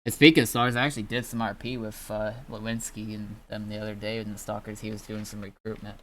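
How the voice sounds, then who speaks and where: A man's voice: fairly low pitch, little pitch movement, quick pace, fairly bright tone, a little hiss or hum. A man chatting casually as an online roleplay gamer, in a hesitant, rambling way. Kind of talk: casual chat.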